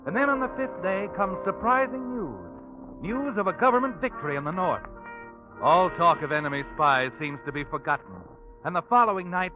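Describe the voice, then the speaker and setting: Narrow, phone-like old radio sound with music swelling behind. An actor plays a brooding American male storyteller with a fairly high voice, dramatic and deliberate, as in a 1940s suspense serial. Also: close to an everyday voice. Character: ominous, all-knowing storyteller of a suspense tale. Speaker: narrator. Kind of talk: 1940s radio suspense narration in second person.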